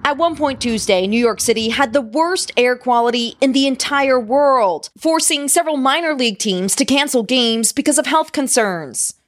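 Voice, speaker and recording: A female correspondent reads a scripted report in an even, measured news cadence. Her voice sits a little high and sounds close and dry, with no room around it. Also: no room sound.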